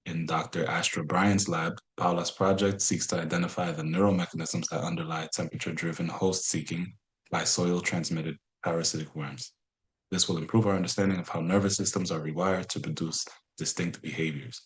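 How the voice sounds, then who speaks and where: A man's voice: low-pitched, poor audio. A man speaking as the host of an online webinar, giving introductions and practical notes to the audience. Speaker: webinar host, program director. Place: remote webinar from New York.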